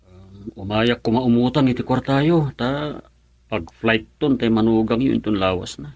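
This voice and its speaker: A sentimental, fretful husband in an Ilocano radio drama. He has a mid-pitched, husky voice, recorded dry and close. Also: measured pace, a little mellow, dry recording. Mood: humble, pleading. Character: sentimental husband fretting over wife leaving for abroad.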